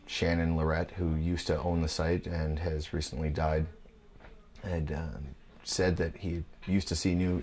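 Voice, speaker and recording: Man, 30s, tattoo shop owner, interview. Very low and flat, laid-back, lots of "uh". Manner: laid-back, unhurried, frequent "uh" fillers. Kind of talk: documentary interview.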